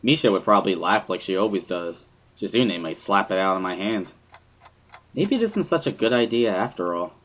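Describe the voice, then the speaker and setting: Male narrator for visual novel: lowish, introspective, animated first-person storytelling. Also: normal speed. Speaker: dramatic reader of a visual novel.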